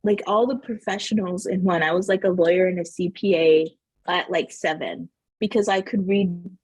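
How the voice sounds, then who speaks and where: A woman from Southern New Mexico whose first language is Spanish, telling family stories as a podcast guest in an animated way, laughing often and saying "like" a lot. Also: lowish voice, normal speed, slightly rough, no room sound, poor audio. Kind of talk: casual interview storytelling.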